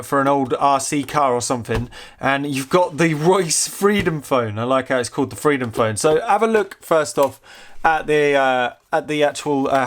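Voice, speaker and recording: A man giving casual, unscripted commentary as a host showing a gadget, rambling and halting with repeated words, curious and easily amused. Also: on the high side. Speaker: host of a vintage technology channel.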